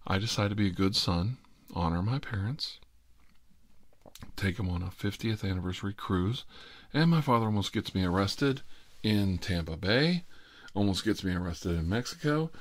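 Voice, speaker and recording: Very deep-voiced middle-aged man, close and dry. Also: animated, normal speed, no echo. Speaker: ASMR host telling personal stories. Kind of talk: informal confessional storytelling.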